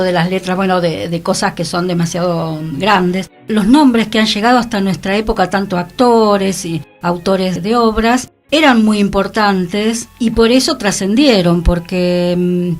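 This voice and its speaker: A woman with an Argentine accent co-hosting a radio programme, discussing culture in a casual conversational way. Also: mid-range voice. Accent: Argentine, Rioplatense. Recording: faint music behind the voice. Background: music.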